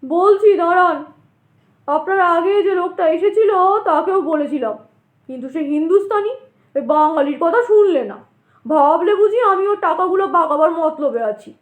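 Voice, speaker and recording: A loud, excitable station master played by a woman in an audio drama, high in pitch and ringing in a roomy echo. He is chatty and blustery, a short stout man who scoffs freely and insists on his point. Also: normal speed. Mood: aggrieved. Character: short stout talkative middle-aged male station master, excitable, sceptical. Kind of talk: dramatized audio story dialogue.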